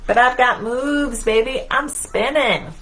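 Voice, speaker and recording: A woman's voice: fairly high pitch, a little slow, pushed and bright, rough recording. A woman voicing a cocky, self-important Sun in a children's picture-book read-aloud, bragging with theatrical emphasis. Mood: smug, showy.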